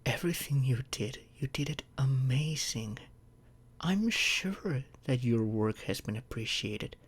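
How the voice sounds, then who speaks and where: Slow, tender boyfriend played by a man. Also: mid-range voice, lively intonation. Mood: reassuring. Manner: soft, soothing, often dropping into breathy whispers. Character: tender, protective boyfriend soothing his overworked partner to sleep. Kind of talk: ASMR boyfriend roleplay.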